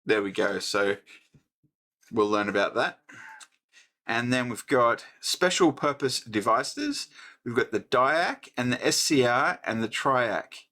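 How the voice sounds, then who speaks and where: A middle-aged Australian man hosting a casual electronics book review, thinking aloud with many pauses and restarts. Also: mid-range voice, expressive, bright, forceful tone. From New South Wales, Australia. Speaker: host.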